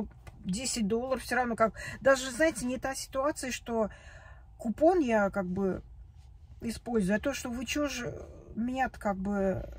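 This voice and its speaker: A woman's voice: on the high side, husky. A woman in her forties, upset and tearful, venting about a bad day in a vlog recorded in her parked car. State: tearful after crying. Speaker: vlogger. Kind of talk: emotional confessional vlog. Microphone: phone camera at arm's length.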